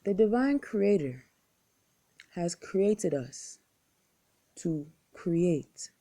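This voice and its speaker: A young woman with an American accent speaks in slow, measured phrases, lingering on each line as she recites. Her voice sits on the low side and has a mellow, warm tone. She paces herself with care and never hurries. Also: lively intonation. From United States. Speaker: contest entrant. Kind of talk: recorded poetry recital and appeal.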